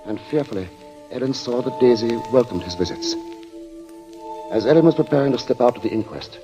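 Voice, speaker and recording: Man as grave radio suspense narrator, formal and measured, music behind, muffled old recording. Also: medium pitch, animated, natural voice. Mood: grave, ominous. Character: grave storyteller of a suspense tale.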